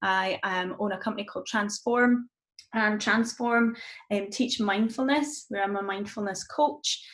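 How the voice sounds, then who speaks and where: Gentle Scottish woman leading mindfulness. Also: medium pitch, measured pace. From Scotland. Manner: gentle, warm and encouraging, with frequent ums. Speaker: mindfulness coach. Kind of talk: live-streamed guided mindfulness session.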